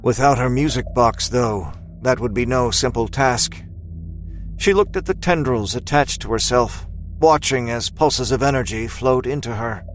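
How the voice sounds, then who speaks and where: A man's voice: medium pitch, a little slow, slight background noise. A man narrating a scripted audio drama in a dramatic storytelling style.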